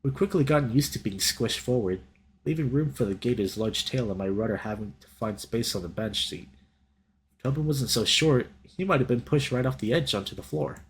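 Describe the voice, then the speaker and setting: A man reading a visual novel aloud as a let's play host, in an expressive, dramatic storytelling style with casual reactions. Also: medium pitch, brisk, a little hiss or hum. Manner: animated, dramatic reader.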